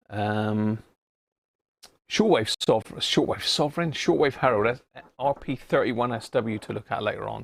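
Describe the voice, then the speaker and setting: British man, livestream host, gravelly edge, dry close sound, casual matey chat. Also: medium pitch, no echo. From United Kingdom. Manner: chatty, informal, matey. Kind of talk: casual live chat to viewers.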